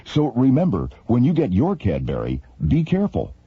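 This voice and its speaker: A man's voice: medium pitch, huge pitch swings, normal speed, husky. A man delivering scripted dramatic radio narration as an announcer. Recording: dull radio recording, faint noise.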